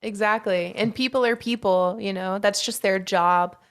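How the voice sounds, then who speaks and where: A woman's voice: medium pitch, fairly even intonation, moderate pace. A woman from Nashville co-hosting a podcast in casual conversation. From Nashville, United States. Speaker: podcast co-host. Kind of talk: casual chat.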